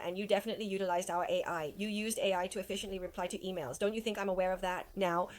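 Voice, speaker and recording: A woman hosting a finance talk show, chatting in a teasing, conversational way. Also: lowish voice. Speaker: host.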